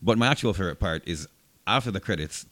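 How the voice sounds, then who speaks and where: A man with a deep voice talks fast in casual chat as a podcast co-host. The sound is close and dry. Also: expressive, sharp tone, no room sound.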